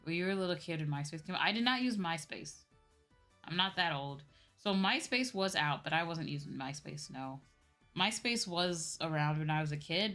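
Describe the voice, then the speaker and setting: Young woman vtuber just chatting on stream, voice a little on the low side, conversational, music playing behind her. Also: a bit bright. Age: young adult. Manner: conversational, often checks with "right?" and "you know". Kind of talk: casual livestream chat. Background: background music.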